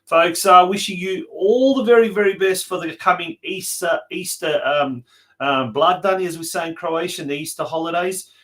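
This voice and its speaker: An Australian man presenting a live community sports show as host, speaking haltingly with repeated and drawn-out words. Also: sits high, fairly bright tone.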